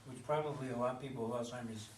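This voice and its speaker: A man in a casual group discussion, halting and searching for his words. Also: mid-range voice, fairly even intonation. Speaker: audience member in discussion. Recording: roomy, distant, faint noise.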